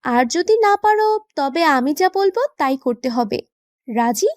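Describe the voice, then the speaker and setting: A woman's voice: sits high, animated, darkish tone, dry recording, clean studio sound. A woman playing a playful, pouty young wife who banters and mock-scolds in a romantic audio story. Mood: teasing, challenging. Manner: pouting, bantering, quick to protest.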